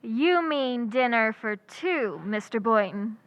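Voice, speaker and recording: A woman playing a wry, quick-witted schoolteacher in old-time radio comedy style, with dry, sardonic asides that stay polite. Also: fairly high pitch, lively intonation, no room sound.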